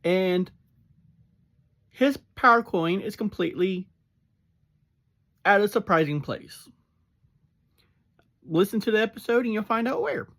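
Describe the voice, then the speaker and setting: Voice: mid-range voice, animated, unhurried, pauses often, a bit bright. A fan in their thirties or forties giving a casual, chatty spoken review, laughing now and then.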